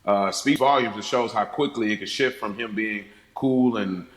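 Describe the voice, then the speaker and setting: A man casually discussing a film, retelling things in an animated way with acted-out quotes and frequent chuckles. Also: fairly low pitch, normal speed. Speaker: podcast panellist. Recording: echoey room.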